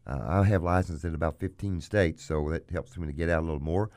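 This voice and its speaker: Man, auctioneer host, very low hoarse voice, dry studio sound, folksy pitch. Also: a little fast, darkish tone, no echo. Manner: folksy, plugs his business. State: hoarse, frog in throat. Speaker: auctioneer and radio show host. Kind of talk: casual radio chat.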